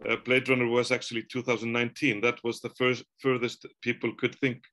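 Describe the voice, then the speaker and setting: A man in his forties, a native Icelandic speaker, talking on a video call from a room at home, thoughtful and halting with many restarts. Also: mid-range voice, monotone, bright, forceful tone. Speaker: author. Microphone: video call webcam.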